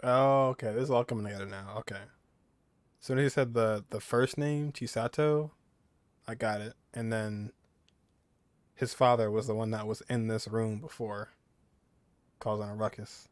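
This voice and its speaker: A man's voice: on the low side, steady tone, normal speed, long pauses, smooth, no echo. Dry-sounding man giving casual game commentary. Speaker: gaming video creator.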